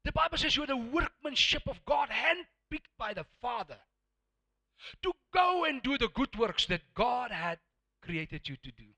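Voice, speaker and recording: A man with a South African accent and Afrikaans as his first language, preaching a sermon emphatically, repeating words for effect and swinging between hushed and loud. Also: extremely high pitch, very animated, unhurried, vocal fry.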